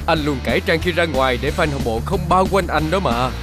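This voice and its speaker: A woman's voice: low voice, a little fast, over loud music, close to an everyday voice. A woman voicing a showy male action superstar in disguise who talks in a fidgety, boastful way. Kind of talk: dubbed cartoon acting.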